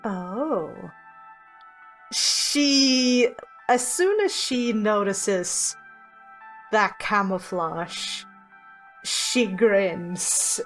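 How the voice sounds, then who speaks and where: A woman's voice: medium pitch, lively intonation, drawn-out words, pauses often, soft background music. A woman playing a tabletop game, casually describing her character's actions and musing aloud in character.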